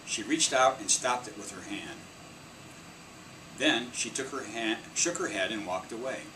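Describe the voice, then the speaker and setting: A man reading a children's story aloud in an expressive storytelling style. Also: medium pitch, unhurried. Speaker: storybook reader. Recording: distant mic, clear air-conditioning hum.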